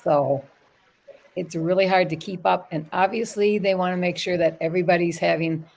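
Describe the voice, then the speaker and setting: Low-voiced excited woman on a call. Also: moderate pace, vocal fry. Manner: excited, tumbling sentences. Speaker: guest. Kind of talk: casual video call chat. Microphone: video call.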